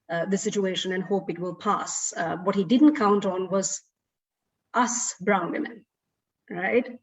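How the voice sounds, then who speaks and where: A middle-aged woman from Sri Lanka with a Sri Lankan accent, a guest speaker telling an animated personal story. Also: medium pitch. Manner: animated storytelling with frequent laughs. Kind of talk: storytelling in an online talk. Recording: distant, dull lo-fi audio.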